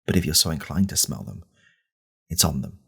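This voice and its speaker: A man playing an angry, pompous right-wing podcast host in a deadpan parody monologue, with a deliberate, conspiratorial manner. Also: heavily acted. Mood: earnest ranting. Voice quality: very deep, gravelly, husky.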